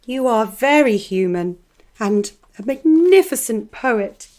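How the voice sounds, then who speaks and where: A woman's voice: on the high side, lively intonation, slow, a little mellow. A woman playing an adoring, protective admirer of a poet in a radio drama, earnest and gushing.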